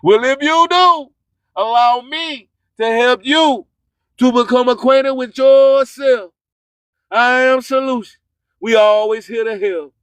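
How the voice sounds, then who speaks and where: A man's voice: very high-pitched, slow, fairly bright tone, smooth, dry recording. A man hosting an online radio show, delivering hype announcements, slogans and sponsor reads emphatically, repeating phrases for effect.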